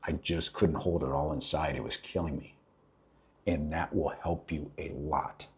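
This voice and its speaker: Deep husky man giving blunt advice. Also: steady tone, unhurried. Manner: blunt, fatherly, repetitive advice. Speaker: advice channel host. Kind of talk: casual spoken advice to a listener. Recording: dull lo-fi recording.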